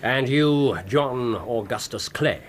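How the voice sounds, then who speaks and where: A self-assured English detective in a radio drama. His delivery is articulate and theatrical, with very animated pitch at a middle register. Faint noise sits behind the voice. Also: slow pace. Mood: triumphant, controlled. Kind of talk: British radio drama acting.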